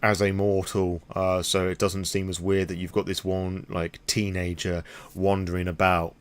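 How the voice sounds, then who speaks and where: Low-voiced British man, rambling and candid. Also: level tone, normal speed, clean tone. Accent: British English. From England. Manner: rambling, candid, self-deprecating, sweary. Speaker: YouTube vlogger on tabletop roleplaying games. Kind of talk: impromptu casual vlog talk.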